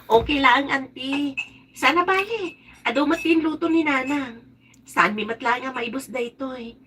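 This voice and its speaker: A woman's voice: high voice, measured pace, sharp tone, poor audio. A woman playing a polite, hospitable young niece in a radio drama, speaking with an Ilocano accent. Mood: reassuring.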